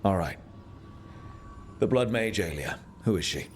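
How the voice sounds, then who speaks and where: A man's voice: sits low, lively intonation, measured pace, a little hiss or hum. A man voicing a loyal, concerned companion in a fantasy video game, earnest and questioning. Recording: music behind the voice. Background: music.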